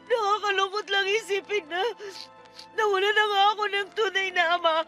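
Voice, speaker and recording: A woman's voice: very high-pitched, steady tone, fairly quick, sharp tone. A woman playing a wronged young daughter in a radio drama, emotional, indignant and pleading. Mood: anguished pleading. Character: wronged, anguished daughter confronting her mother. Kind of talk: Tagalog radio drama acting. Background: music.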